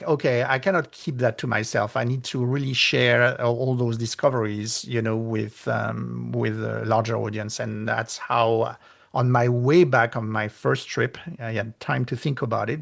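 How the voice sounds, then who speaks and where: Gravelly French-accented man, halting. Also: mid-range voice. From France. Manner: halting, many fillers, lively when retelling exchanges. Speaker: author and guest. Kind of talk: casual interview chat.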